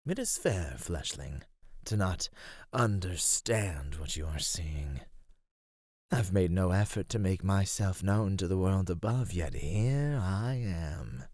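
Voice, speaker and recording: A man playing an ancient cave monster in an ASMR roleplay, husky and low, speaking formally with predatory, teasing menace. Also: expressive, takes it slowly, darkish tone, dry recording, studio quality, full character voice. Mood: amused, imposing.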